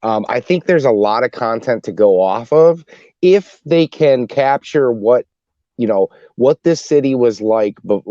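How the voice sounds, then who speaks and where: A man on a podcast panel sharing opinions casually in a halting, rambling way, often rephrasing himself. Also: mid-range voice, dark tone, dry recording. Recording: dull lo-fi dry voice track.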